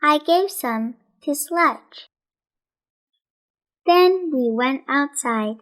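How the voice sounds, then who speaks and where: A woman reading a children's storybook aloud as scripted narration, in a measured, deliberate way. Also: high-pitched, expressive, a bit bright. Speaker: children's audiobook reader.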